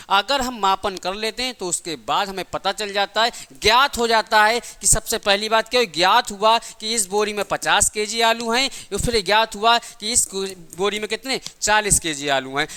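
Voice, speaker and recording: A man's voice: high voice, bright, forceful tone, clear, resonant tone. A man teaching a class, asking questions and answering them himself, repeating key points in simple everyday examples. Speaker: physics teacher for exam coaching.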